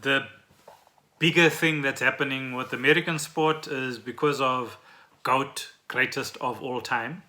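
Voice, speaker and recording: A South African man chats as a podcast co-host. His voice is strikingly bright and forceful, at an ordinary male pitch. He explains things informally, as if to a friend. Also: fairly even intonation, unhurried. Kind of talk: casual chat about trending news.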